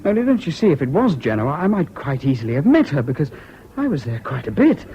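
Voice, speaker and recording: Dull old radio drama, needy english war veteran, mellow voice, stammery and swooping. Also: male voice, a little high, quick pace. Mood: giddy. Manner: stammering, pleading, excitable. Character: Jason, highly strung, needy bridegroom and war veteran. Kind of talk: 1970s British radio drama acting. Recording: dull old radio recording with faint noise.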